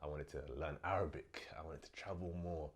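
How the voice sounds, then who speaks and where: A young man hosting his own vlog, talking casually and a bit low on energy as he recovers from illness, with lots of fillers. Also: fairly quick. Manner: relaxed, chatty, many fillers. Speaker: host. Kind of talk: casual vlog talk to camera.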